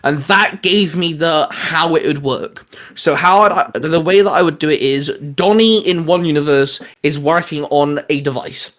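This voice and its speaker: A man chatting casually as a host pitching geeky ideas, rambling and restarting his sentences as he goes. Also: normal speed, fairly bright tone. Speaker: host of a comics discussion show.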